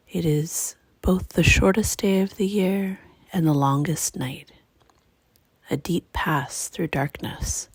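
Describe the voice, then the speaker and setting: A woman leading a guided meditation in a hushed, breathy, gentle and unhurried way, leaving long pauses between phrases. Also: sits low, no echo. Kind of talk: guided meditation, slow and soothing.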